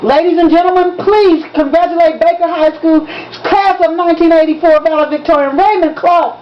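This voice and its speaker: Woman as a proud declamatory school principal, raised pitch. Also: steady tone, a little fast. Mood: proud, announcing. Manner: declamatory, formal announcing. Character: proud male school principal at graduation podium. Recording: dull lo-fi recording with background noise.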